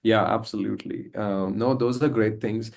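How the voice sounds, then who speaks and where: A man's voice: lowish voice, steady tone, normal speed, vocal fry, darkish tone. A man hosting a podcast interview in a casual, affirming way, with many hesitant fillers. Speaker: podcast host.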